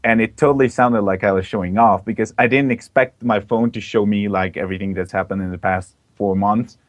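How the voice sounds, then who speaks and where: A man's voice: fairly low pitch, fairly even intonation, a little fast, no room sound. A young man from Belgium, a guest in a casual interview, talking with enthusiasm and plenty of "uh"s and "like"s. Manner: enthusiastic, chatty, many fillers. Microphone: online call mic.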